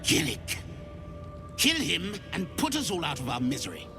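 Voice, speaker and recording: An actor playing a harsh, contemptuous githyanki drill instructor in a video game, speaking imperiously over loud music. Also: male voice, fairly high pitch, huge pitch swings. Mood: cold contempt. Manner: imperious, scornful, deliberate.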